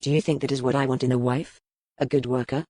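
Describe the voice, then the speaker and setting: A man playing a war-weary, reserved medieval duke in a historical romance audiobook, with measured, formal speech. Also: medium pitch, flat delivery, mellow, no room sound, clean studio sound, close to an everyday voice. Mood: guarded.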